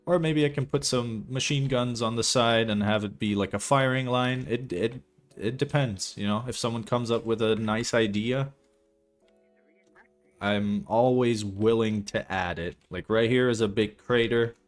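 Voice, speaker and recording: A man's voice: mid-range voice, steady tone, a little slow. A young man from Belgium whose first language is not English, chatting casually and rambling, swearing now and then, as he walks viewers through a map he is building. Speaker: streamer building a game map. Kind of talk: casual livestream commentary.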